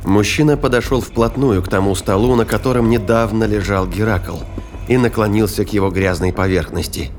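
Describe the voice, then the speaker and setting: A man with a fairly low voice narrates an audio drama. His delivery is measured and literary, with music underneath. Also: darkish tone.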